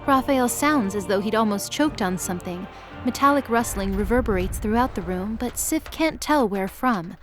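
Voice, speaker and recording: A woman's voice: mid-range voice, expressive, moderate pace. A woman narrator reads a scripted story in a mid-range voice. Faint music runs behind her narration.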